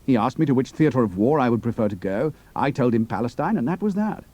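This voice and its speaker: An actor playing a cool, capable young pilot and spy, speaking in a clipped, matter-of-fact way. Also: male voice, medium pitch, lively intonation, quick pace, mellow, close to an everyday voice. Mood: earnest briefing.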